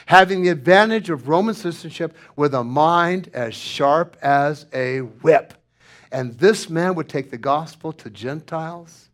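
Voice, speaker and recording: A man preaching a sermon, moving from loud, emphatic lines to hushed, near-whispered ones. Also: high-pitched. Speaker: pastor.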